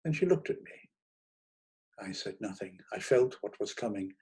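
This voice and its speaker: Expressive man reading a literary short story. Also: fairly low pitch, moderate pace, husky. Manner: theatrical, expressive. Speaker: reader of short stories. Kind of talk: dramatic literary narration.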